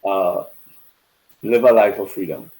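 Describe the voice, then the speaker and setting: A middle-aged man from Trinidad, a business consultant chatting as a guest on a video call in a relaxed, conversational way. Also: low-pitched, measured pace, rough recording. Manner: relaxed and conversational, many "you know" fillers. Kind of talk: casual interview chat over video call.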